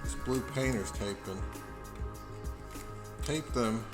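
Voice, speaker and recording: A man hosting a video, explaining things casually in an unhurried, self-deprecating way. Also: mid-range voice, over music. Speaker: video host.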